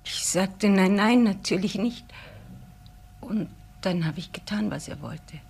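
An actress playing a devoted, admiring assistant to a professor in a crime radio play, earnest and subdued. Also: female voice, mid-range voice, a little slow, creaky, darkish tone, slightly rough, full character voice. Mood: troubled, loyal.

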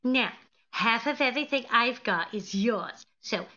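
A woman's voice: on the high side, expressive, unhurried, bright, forceful tone, lo-fi sound. A middle-aged woman playing a sweet, scatterbrained comedian wife in a 1940s radio comedy, with innocent, earnest literal-mindedness. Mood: innocent, puzzled.

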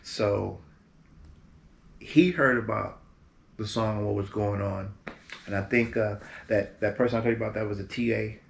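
A man in his fifties from Berkeley, California, a musician guest telling stories from his career in a relaxed, animated way, seated in front of a green screen. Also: lowish voice, moderate pace, vocal fry, pauses often. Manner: relaxed, animated storyteller with lively quoted voices. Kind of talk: casual storytelling interview. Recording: roomy echo with faint background hiss.

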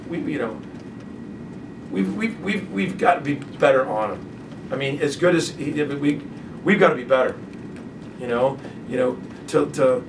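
A middle-aged man sits at a press table answering postgame questions as a basketball coach, halting and restarting as he repeats himself. The desk mic picks him up from a little way off, with a clear room hum behind. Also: medium pitch. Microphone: desk microphone from a distance. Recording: distant mic, clear background noise.

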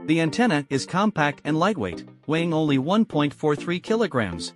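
A man's voice: a little high, sing-song, moderate pace, soft background music. A man reading scripted instructional narration as a voice-over for a product demonstration. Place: close, dry indoor voice-over recording.

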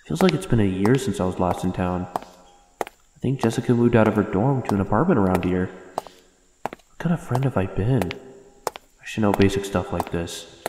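A man's voice: lowish voice, lively intonation, moderate pace, pauses often, echoey room, big-room sound, background noise, close to an everyday voice. A man playing an anxious, brooding young man with a wry, suspicious streak in a comic dub. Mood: pondering. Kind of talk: comic dub acting with inner-monologue narration.

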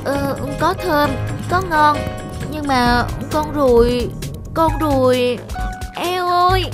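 An eager, childish cartoon girl who is easily upset. The voice is high, whiny and expressive, set over very prominent music. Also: takes it slowly. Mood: disgusted. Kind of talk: animated cartoon dubbing. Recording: loud music behind the voice.

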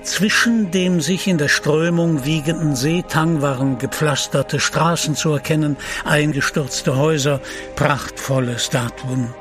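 A male narrator played by an actor tells an adventure story in a radio play. His voice sits a little high and he is measured and solemn. Soft music runs underneath. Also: lightly acted. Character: literary narrator of an adventure tale. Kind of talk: scripted dramatic storytelling.

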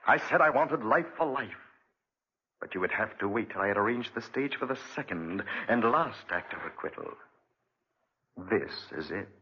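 An actor playing a bitter, vengeful man in a theatrical 1940s radio drama style, with a husky voice. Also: on the low side, lively intonation, moderate pace, pushed and bright, full character voice. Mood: gloating, vengeful. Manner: theatrical, gloating then brooding. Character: bitter, vengeful man consumed by revenge and conscience. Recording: dull, muffled 1940s radio recording.

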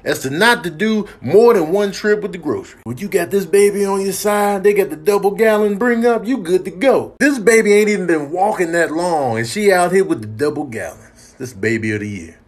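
A man's voice: high voice, animated, a little fast, few pauses. A man doing comedic voiceover commentary, slangy and exaggerated in his emphasis.

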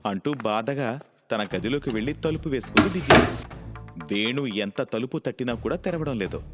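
A man's voice: medium pitch, animated, normal speed, loud music behind the voice. A man narrating a scripted moral story.

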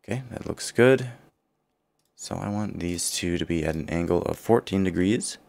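Deep, gravelly male tutor with a worn-out voice, calm self-correcting software walkthrough. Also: moderate pace. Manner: thinking aloud, self-correcting. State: partly lost voice, low and rough. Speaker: CAD tutorial instructor. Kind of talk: screen-recorded software walkthrough.

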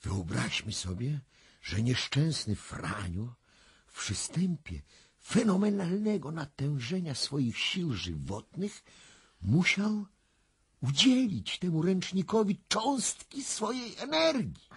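An actor playing a self-assured, probing clairvoyant investigator in a radio drama, speaking in a formal, deliberate and emphatic husky voice. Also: male voice, fairly high pitch, big pitch swings, dry recording, clean studio sound, exaggerated voice. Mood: grave, assured.

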